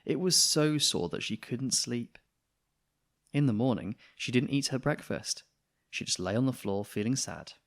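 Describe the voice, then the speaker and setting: Animated man reading a children's story. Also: medium pitch, a little slow. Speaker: children's book reader. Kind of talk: expressive storybook narration.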